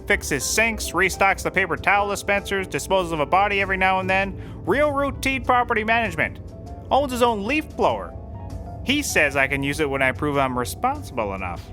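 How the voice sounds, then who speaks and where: A man's voice: high-pitched, expressive, a little fast, pushed and bright, faint music behind. A man playing a deluded, addled slacker detective in a comedy audio drama, rambling and stammering erratically. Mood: confiding.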